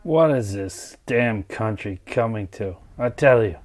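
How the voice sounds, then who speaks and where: Man playing a gruff, grumbling older mine technician. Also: on the low side, expressive, measured pace. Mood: indignant grumbling. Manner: gruff, curt, resentful. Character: grumpy older male mine technician, set in his ways. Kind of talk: dramatized dialogue.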